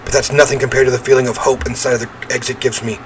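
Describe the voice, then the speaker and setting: A man's voice: mid-range voice, level tone, fast talker, slight background noise. A man reading a visual novel aloud as he plays, with theatrical, emphatic narration and offhand reactions.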